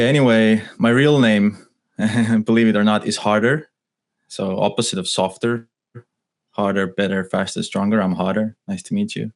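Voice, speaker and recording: A young man from Estonia, a native Estonian speaker, talking in a casual interview over a remote call, thoughtful and halting with many restarts and fillers. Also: deep voice. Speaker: guest, writer and musician.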